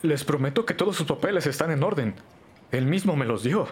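An actor playing an anxious, placating school director in a crime audio drama. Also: male voice, a little high, animated, brisk, natural voice. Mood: nervous, placating.

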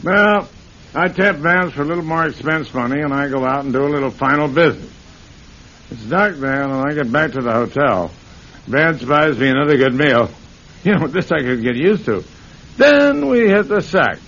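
A man's voice: on the high side, lively intonation, moderate pace, a bit bright. A man playing a cocky middle-aged American con man and thief in an old-time radio crime drama, slangy, brash and bossy. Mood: confident storytelling. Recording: muffled, hissy old radio broadcast.

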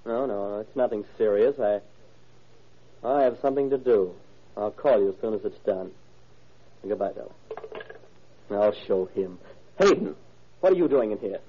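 An actor as a defiant young son, muffled. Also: male voice, on the low side, lively intonation, moderate pace, long pauses, a little hiss or hum, lightly acted. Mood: apologetic, then irritated. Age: young adult. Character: sullen, defiant young son of rich father. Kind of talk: old-time radio drama acting. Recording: dull, muffled vintage radio recording.

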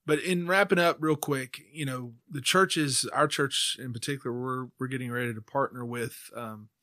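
A man's voice: medium pitch, moderate pace, pushed and bright, no echo, clean studio sound. A man with an American accent hosting a faith podcast, talking in an informal, conversational way. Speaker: podcast host; church member speaking on faith. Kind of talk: casual discussion.

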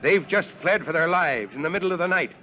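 An actor playing a stern, commanding fire chief who gives firm orders in an old radio drama. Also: male voice, fairly high pitch, expressive, fast talker, slight background noise, natural voice. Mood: stern questioning. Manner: firm, authoritative. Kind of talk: 1940s radio acting. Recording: dull lo-fi old radio recording.

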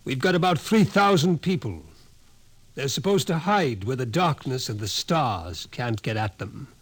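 An actor playing an older psychologist in a radio drama, who speaks in a measured, lecturing and quietly ominous way. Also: male voice, mid-range voice, animated, slightly rough. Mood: explaining. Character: older male psychologist, calm, knowing, ominous. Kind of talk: 1950s radio drama acting.

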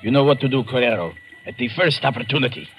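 A man playing a sinister, formal villain who speaks in a measured way, in 1940s radio drama style. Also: mid-range voice, heavy background noise, natural voice. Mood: cold, scheming. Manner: measured, formal, without contractions. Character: sinister, formal foreign villain plotting coolly.

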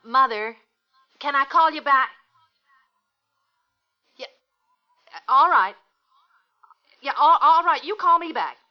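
A woman's voice: high-pitched, lively intonation, takes it slowly, long pauses. An actress playing an affectionate, easily flustered young American mother in an old-time radio drama. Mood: flustered, polite. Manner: motherly coaxing and chiding. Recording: dull, noisy old radio recording.

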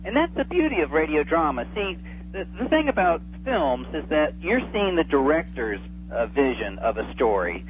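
A man's voice: a little high, very animated, moderate pace, a little hiss or hum. Man on a tinny phone line. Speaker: interview guest, old-time radio enthusiast. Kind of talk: casual interview chat. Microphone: telephone.

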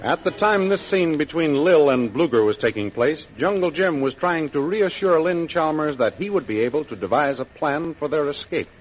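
A man's voice: on the high side, expressive, moderate pace, few pauses, soft background music. A man narrating an old radio adventure serial as its announcer, in scripted 1930s style. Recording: muffled old radio recording with hiss.

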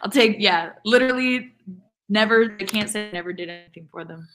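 A woman's voice: mid-range voice, fairly quick, fairly bright tone, faint music behind. A woman on a podcast panel, chatting casually in a wry, joking manner. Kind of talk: casual chat.

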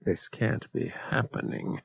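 A man voicing an earnest young space pilot cadet in an audiobook, speaking in a hushed, heartfelt way. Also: slow, dry recording, natural voice. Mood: dismayed.

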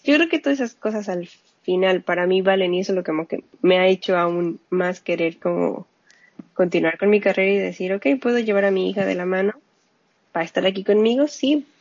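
Gravelly woman, casual chat. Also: medium pitch, a little fast, no echo. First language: Spanish. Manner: animated storyteller, quotes herself. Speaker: scientist and mother. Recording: muffled lo-fi dry audio.

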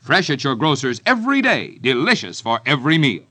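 A man reading a radio advertisement in a smooth, persuasive 1950s announcer style. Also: medium pitch, sing-song, a little fast, loud music behind the voice. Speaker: commercial announcer.

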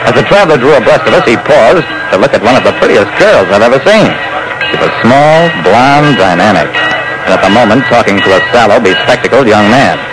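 A man's voice: mid-range voice, lively intonation, fairly quick, over loud music, natural voice. An actor playing a private detective who narrates his casebook in old-time radio style, on a noisy vintage recording. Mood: dry, brisk storytelling.

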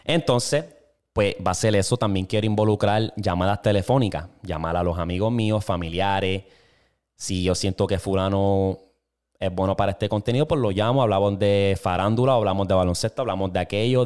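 Puerto Rican man, young, casual podcast host, slangy and easygoing, lowish pitch. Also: brisk, a little mellow, smooth. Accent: Puerto Rican Spanish. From Puerto Rico. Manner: slangy, laid-back, swearing freely. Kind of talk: casual solo podcast chat. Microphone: single camera with mic.

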